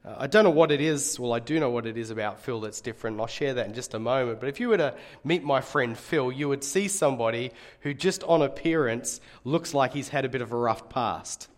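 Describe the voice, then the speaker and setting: Middle aged guy preaching, bit of a rasp, mid pitch, chatty but earnest sermon style. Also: a little fast, few pauses. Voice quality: slightly raspy. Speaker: guest preacher and Bible college teacher. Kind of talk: conversational sermon.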